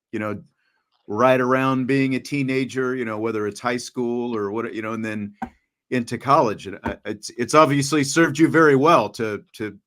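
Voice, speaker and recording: A man's voice: medium pitch, fairly bright tone. A man interviewing a young athlete, asking long, rambling questions and often restarting his sentences. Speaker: interviewer, radio play-by-play announcer. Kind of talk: conversational interview.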